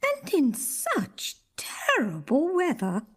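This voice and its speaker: A shrewd, fussy storybook queen, acted by a woman, scheming and deliberate. Her high voice swoops widely at a slow pace. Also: huge pitch swings, full character voice. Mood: haughty concern. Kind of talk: children's fairy tale character acting.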